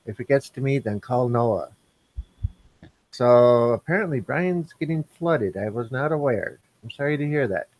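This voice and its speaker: A man's voice: medium pitch, lively intonation, normal speed, a little mellow, no echo, low-quality recording. A man hosting a casual live stream, reading the chat aloud and joking back at his co-host.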